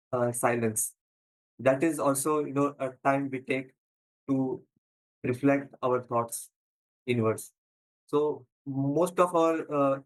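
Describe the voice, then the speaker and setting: A man from India with an Indian English accent gives a conference talk, pausing often with fillers and stuttering. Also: mid-range voice, low-quality recording. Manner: frequent pauses and fillers, stutters. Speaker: conference presenter. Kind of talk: prepared talk.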